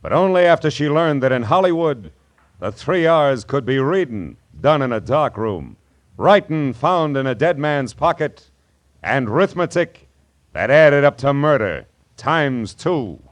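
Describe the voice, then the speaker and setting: An actor playing a wry, world-weary but kindly private detective in a hard-boiled 1940s radio drama, with assured, clipped phrasing. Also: male voice, medium pitch, sing-song, measured pace. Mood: dramatic, ominous. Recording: vintage 1940s radio broadcast.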